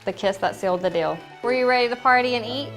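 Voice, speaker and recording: A woman, the bride, looks back on her wedding day in a sentimental voice-over. She speaks warmly about her family and loved ones. Soft music plays beneath her. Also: a little high, quick pace. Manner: warm, sentimental. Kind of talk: voice-over recollection.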